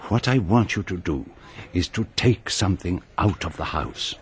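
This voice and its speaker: An actor playing a vain, precise celebrated detective in a radio drama, with a pompous, formal manner. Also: male voice, deep voice, lively intonation, moderate pace, a little hiss or hum. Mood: urgent, instructing.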